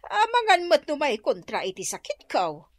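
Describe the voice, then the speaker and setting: An actress playing a curious, easily scandalized gossip friend with an Ilocano accent, questioning and exclaiming in a radio comedy drama. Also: female voice, sits high, huge pitch swings, moderate pace, dry recording.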